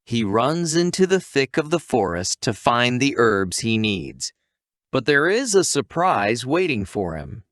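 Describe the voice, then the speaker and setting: A man narrates a scripted anime recap at a leisurely pace. His pitch moves up and down in an animated way, and the sound is close and dry. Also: a little slow, dry recording.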